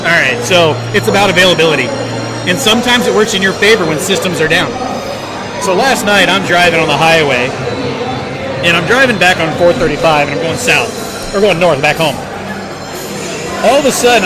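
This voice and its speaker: Male storyteller, high voice, informal, acts out dialogue; recorded amid very loud music and crowd. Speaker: podcast co-host telling an anecdote. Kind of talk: casual animated storytelling. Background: loud crowd chatter and music.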